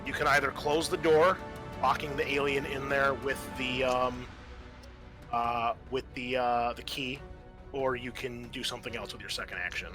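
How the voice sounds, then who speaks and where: A man narrating a tabletop horror game as game master, describing things graphically in a halting, casual way. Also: mid-range voice, fairly even intonation, music in the background.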